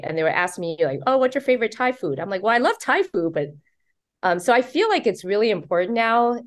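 A middle-aged woman from Taiwan talks about her life and work in a casual interview. Her voice sits fairly low, and she tells her stories in an animated way, jumping way up in pitch when she acts out what other people said. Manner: animated, excited storyteller who acts out quotes. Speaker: author and guest.

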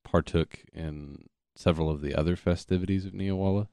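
Low voiced guy on a podcast, super slangy and sweary, real dry close sound. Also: steady tone, brisk, mellow, clean tone, no echo. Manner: profane, slangy. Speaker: podcast co-host. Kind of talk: casual chat.

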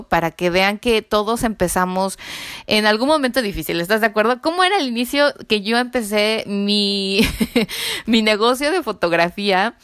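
A woman hosting a casual podcast interview, chatty and quick to laugh. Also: on the high side, fairly bright tone, clean tone, dry recording, studio quality. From Mexico. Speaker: podcast host.

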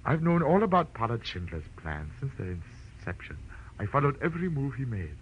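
An actor playing a smug, scheming crime boss in a vintage radio drama, silky and gloating with theatrical politeness. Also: male voice, medium pitch, sing-song, a little fast, natural voice. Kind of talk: 1940s radio drama acting. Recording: dull, noisy vintage radio recording.

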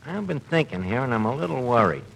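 A man's voice: mid-range voice, sing-song, fast, vocal fry. A man playing a cocky, bumbling amateur detective in old radio comedy, mangling his grammar with great self-importance. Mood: self-important. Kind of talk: 1940s radio comedy acting before an audience. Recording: noisy old radio broadcast recording.

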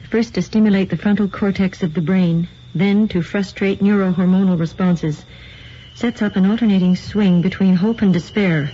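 An actress playing a composed woman in a radio drama, reading in a measured, solemn manner. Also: on the low side, mellow, close to an everyday voice. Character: composed woman reading a grim account aloud. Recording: dull lo-fi radio recording, faint noise.

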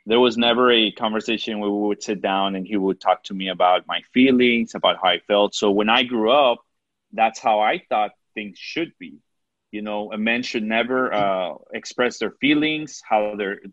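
A man with a Mexican accent speaks in an earnest, halting way, circling back and restarting as he gathers his thoughts. His voice sits in a middle register. The sound is very dry and a little dull, like a close podcast mic with the top end rolled off. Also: medium pitch, a bit bright, no echo. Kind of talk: casual chat.

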